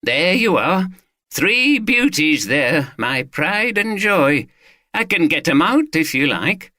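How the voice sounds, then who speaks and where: A man's voice: fairly high pitch, big pitch swings, slow, bright, forceful tone, dry recording, studio quality, voice pushed up, exaggerated voice. A man voicing a friendly middle-aged gun collector, chatty and proud. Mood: eager.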